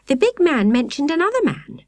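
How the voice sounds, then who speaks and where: An earnest British child from a detective story for children, voiced by a woman reader. The voice is raised and lively, sharp with suspicion and eagerly insistent. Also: high voice, moderate pace, no echo, pitched above the performer's own voice. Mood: doubtful. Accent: British English.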